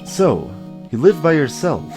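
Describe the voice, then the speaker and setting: A man voicing a quiet, concerned young rookie defense lawyer in a video game, hesitant and full of questions. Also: on the high side, big pitch swings, unhurried. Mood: gently probing. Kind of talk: voiced video game dialogue. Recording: music behind the voice.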